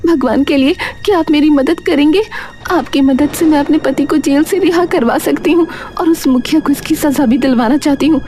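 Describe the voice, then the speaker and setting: A woman's voice: high voice, normal speed, dark tone, pitched above the performer's own voice, natural voice. A woman voicing a distressed, kind young village wife in a cartoon story, imploring and earnest. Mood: pleading.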